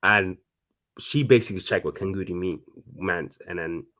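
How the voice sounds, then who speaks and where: A man's voice: low-pitched, normal speed, bright, forceful tone. A man in his thirties from Congo, a fashion designer talking casually and animatedly as a guest, with lots of restarts. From Congo (DRC). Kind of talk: casual conversation.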